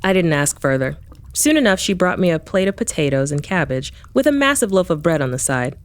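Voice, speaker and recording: Wry, low-voiced female investigator. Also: big pitch swings, a little fast. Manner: wry, irreverent, informal. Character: sardonic young woman engineer and paranormal investigator. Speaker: protagonist narrator. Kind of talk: first-person audio drama narration.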